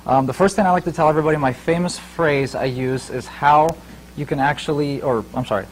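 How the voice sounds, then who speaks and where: A man's voice: mid-range voice, slight background noise, rough recording. A man in his twenties from Ukraine who moved to the United States, giving an informal motivational talk as an entrepreneur, addressing listeners directly. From Ukraine, later Fort Wayne, United States. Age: 20s. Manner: informal, talks directly to the audience.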